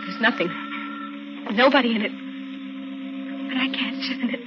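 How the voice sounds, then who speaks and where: An actress playing a shaky, frightened young woman in a 1940s radio drama, with halting, stammering delivery. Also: a little high, close to an everyday voice. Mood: anxious. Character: frightened, shaky young woman insisting she saw a corpse. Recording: muffled old radio broadcast with music.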